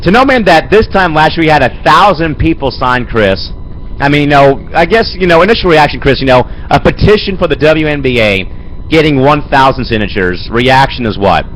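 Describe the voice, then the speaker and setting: A young man with a head cold and a raspy voice, hosting an amateur sports show and rambling with lots of fillers. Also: a little high, animated, a little fast, fairly bright tone, a little hiss or hum, low-quality recording. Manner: rambling, fills with "man" and "Chris". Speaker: host. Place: home studio.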